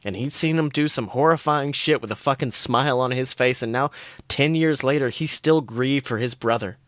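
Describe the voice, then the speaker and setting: A man's voice: medium pitch, moderate pace, fairly bright tone, dry recording. A man co-hosting a podcast, reading a piece of fan fiction aloud as a narrator. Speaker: podcast co-host.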